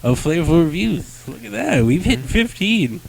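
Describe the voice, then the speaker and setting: A man's voice: high voice, big pitch swings, normal speed, gravelly, slight background noise, poor audio. A man hosting a casual comics-review chat, joking and slangy, with swearing. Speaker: podcast host reviewing comics.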